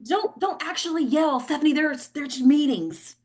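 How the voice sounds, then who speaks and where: A woman playing a frantic, foul-mouthed, domineering presidential aide with a sharp-tongued, commanding delivery in a comedy play reading. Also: high-pitched, expressive, a little slow. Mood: commanding, exasperated. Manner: sharp-tongued, commanding, stammers under pressure. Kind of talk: comedy play table read.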